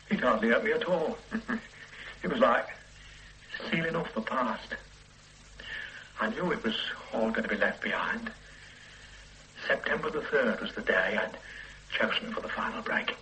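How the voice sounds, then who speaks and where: An actor playing a weary, regretful middle-aged man under police questioning in a radio play, speaking in a halting, hesitant way. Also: medium pitch, slight background noise, rough recording. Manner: halting, hesitant confession with quiet weary asides. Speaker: murder suspect under questioning. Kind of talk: 1970s-80s radio play acting.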